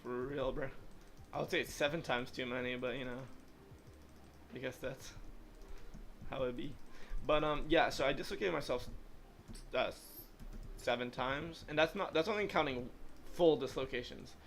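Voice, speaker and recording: A man's voice: medium pitch, moderate pace, pauses often, a bit bright, a little hiss or hum. An eighteen-year-old man hosting a livestream, chatting casually and rambling, with plenty of "like" fillers. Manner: rambling, heavy use of "like" fillers. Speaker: livestream host. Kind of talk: casual chat while gaming.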